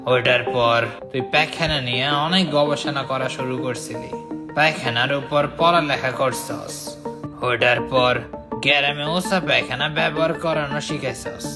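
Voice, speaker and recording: A man's voice: medium pitch, normal speed, natural voice. A man voicing a grumbling, scolding old man who recounts the past in a comic cartoon. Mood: scolding, reminiscing. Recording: background music under the voice.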